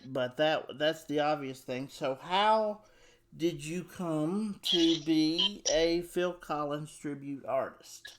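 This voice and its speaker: A man's voice: fairly high pitch, takes it slowly, fairly bright tone. A man hosting an interview, talking eagerly in a casual way and often repeating words. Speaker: show host, interviewer.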